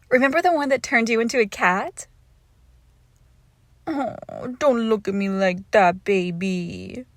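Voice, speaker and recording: A woman playing a lovesick, possessive witch in an intimate ASMR roleplay, coaxing and teasing her captive, slipping between soft speech and slow whispers. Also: on the high side, no echo.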